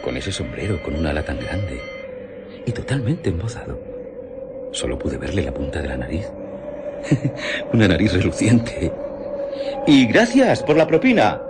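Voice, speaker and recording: An actor playing a chatty, inquisitive and cheeky railway porter in a radio drama, muttering and exclaiming. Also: male voice, deep voice, huge pitch swings, a little slow, long pauses, over music, exaggerated voice. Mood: amused, gossipy.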